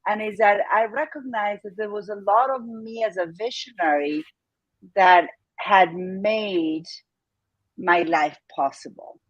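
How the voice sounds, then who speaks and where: A woman's voice: medium pitch, a little slow, no echo, muffled recording. A woman in her fifties from Argentina with an Argentine Spanish accent, a business owner speaking candidly and reflectively as an interview guest, with frequent fillers and laughs. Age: 50s. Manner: reflective, frank, laughs often, many fillers.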